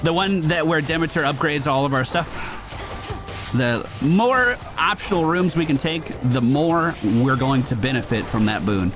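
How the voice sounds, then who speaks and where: A man with a slightly high voice gives casual gameplay commentary. He is chatty and slangy, working through his choices aloud. Soft game music plays behind him. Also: animated, a little slow. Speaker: gaming streamer.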